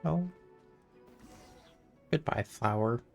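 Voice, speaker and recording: Wry, animated game streamer. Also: mid-range voice, very slow, gravelly, over music. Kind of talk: casual gameplay commentary.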